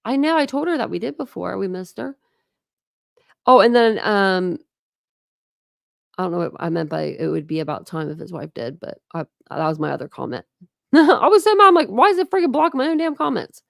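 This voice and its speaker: An American woman hosts a live chat in loose, slangy talk. Her voice sits at an average pitch and sounds close and dry. She is chatty and informal, dropping mild curses as she goes. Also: big pitch swings, a little fast, creaky, no echo. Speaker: true crime livestream host.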